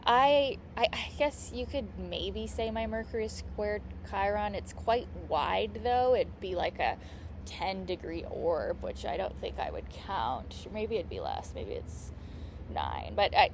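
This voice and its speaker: A woman astrologer and healing coach with vocal fry, giving a casual, earnest and reassuring astrology lesson, with small clicking noises from her mouth. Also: medium pitch, big pitch swings, measured pace, slight background noise. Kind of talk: casual astrology teaching monologue.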